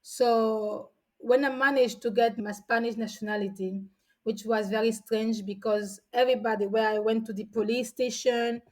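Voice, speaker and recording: A woman's voice: a little high, level tone, moderate pace, poor audio. A woman in her forties from São Tomé and Príncipe, a guest telling stories in a chatty way with frequent restarts. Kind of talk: casual storytelling.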